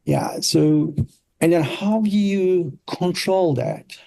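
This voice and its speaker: A middle-aged man with a Turkish accent, telling stories casually as an interview guest, often halting and searching for words. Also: high voice, creaky, warm tone, no echo. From Turkey. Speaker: interview guest, product designer. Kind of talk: casual conversational storytelling.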